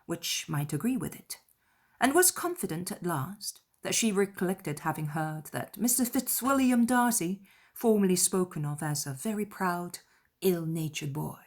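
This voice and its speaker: Woman, audiobook narrator, slightly low pitch, measured, expressive, scripted literary storytelling.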